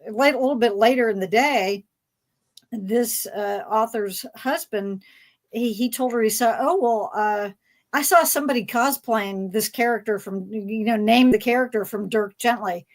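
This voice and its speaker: Rambling woman hosting, slightly high voice. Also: moderate pace. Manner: hesitant, rambling, searching for words. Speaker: panel host. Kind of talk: casual fan panel discussion.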